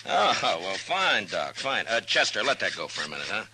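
A noisy old radio western recording with clicks. a low-voiced American marshal of the Old West, laconic and weary, with dry good humor. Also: male voice, sing-song, normal speed, pushed and bright, heavily acted. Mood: relieved, amused. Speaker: actor playing a frontier marshal in a radio western. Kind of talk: 1950s radio western acting.

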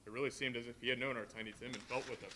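A man plays a kind father in mourning, soft-hearted and tender. His speech runs quick and bright, with a faint rumble from the live hall. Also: steady tone, natural voice. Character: grieving gentle father, Bob Cratchit. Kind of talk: live stage play reading.

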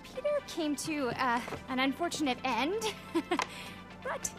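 A woman's voice: sits high, sing-song, measured pace, over music. An actress playing a harried young female production assistant with a wry, sarcastic edge. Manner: frazzled, wry, sarcastic asides.

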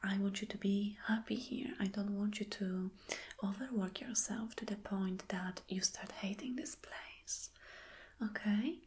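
A woman playing a flirty, caring boss in a soft, breathy, whispered ASMR roleplay, gentle and coaxing. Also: mid-range voice, fairly even intonation, lightly acted. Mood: soothing, caring. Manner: slow, gentle, coaxing, with hums of agreement. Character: flirty, caring female boss courting an employee. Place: small dim indoor room.

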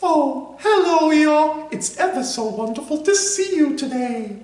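A man voicing a gentle, slow-witted bear who loves honey and speaks in a soft, dreamy way. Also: very high voice, expressive, pitched above the performer's own voice. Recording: roomy echo.

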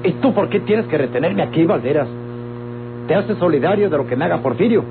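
A man's voice: on the high side, lively intonation, a little fast, music in the background. An actor playing a crafty old captive man in a Mexican radio drama, with a theatrical, pleading and protesting delivery and a Mexican accent. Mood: aggrieved. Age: older. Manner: theatrical, groaning, begging and protesting. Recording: muffled old radio recording with loud hum.

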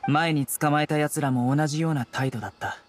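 A man's voice: fairly high pitch, steady tone, fairly quick, soft background music, lightly acted. A man playing a stern young law enforcer in a Japanese video game, composed, blunt and commanding. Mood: stern, cool.

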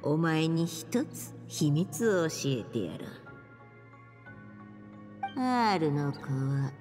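An actress voicing a mysterious female witch who speaks slowly in a cryptic, teasing way. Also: low voice, very animated, darkish tone, full character voice. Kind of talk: video game voice acting. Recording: long reverb, recalled voice over game music.

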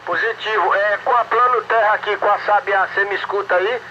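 A man's voice: high voice, steady tone, brisk, pushed and bright, lo-fi sound, a little hiss or hum. A Brazilian man chatting casually as an amateur radio operator, enthusiastic and folksy, full of slang and jargon. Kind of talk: casual radio contact chat with running commentary.

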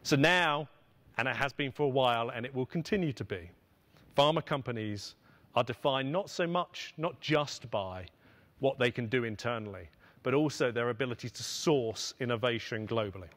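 A middle-aged man delivers a prepared conference keynote as an executive, phrasing deliberately with emphatic pauses. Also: mid-range voice, fairly bright tone. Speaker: pharmaceutical finance executive giving a keynote. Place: on stage at a podium in a conference hall. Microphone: podium microphone.

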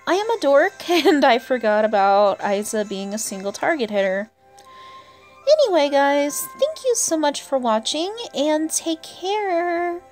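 Slow-paced, higher-voiced woman gamer. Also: lively intonation, soft background music. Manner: chatty, talks to herself and to game characters. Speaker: video game player and channel host. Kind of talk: casual gameplay commentary. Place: indoors, voice-over on gameplay with game music behind.

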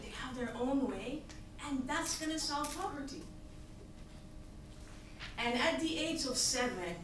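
A woman in her thirties from Tanzania, giving an earnest, emphatic pitch as a nonprofit founder from a stage podium. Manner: earnest, emphatic, gesturing at slides. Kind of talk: prepared presentation speech. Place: large hall, on stage at a podium. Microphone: podium mic, recorded by a far audience camera. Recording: echoey hall from far off, hissy.

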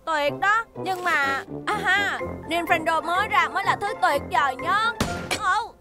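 Very high-pitched curious cartoon child. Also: measured pace, over loud music. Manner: wide-eyed, exclamatory. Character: very young child, curious, easily amazed playmate. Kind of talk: animated children's cartoon dub.

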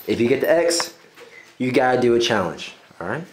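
A man's voice: mid-range voice, big pitch swings, brisk. A young man hosting a challenge game with friends, explaining rules and calling countdowns in a small indoor room. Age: young adult. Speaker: game show host. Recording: clearly noisy room recording.

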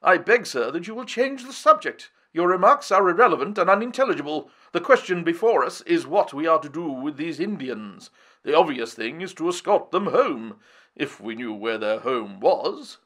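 A man's voice: fairly high pitch, animated, normal speed, bright, forceful tone, voice pushed up. A man voicing a pompous, self-important older professor who speaks in lofty, formal phrases. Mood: indignant.

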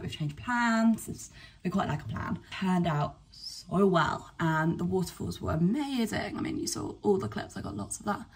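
A young woman from England chatting casually to camera in a vlog, rambling with fillers and quick asides. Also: medium pitch, breathy edge, recorded from a distance. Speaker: vlogger. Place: cottage bedroom indoors, later outdoors on a walk. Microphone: camera at arm's length.

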